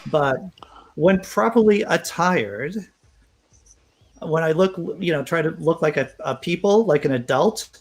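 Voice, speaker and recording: A man's voice: fairly high pitch, lively intonation, unhurried. Wry, rambling man mocking himself. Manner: wry, rambling self-mockery. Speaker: guest. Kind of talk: casual chat.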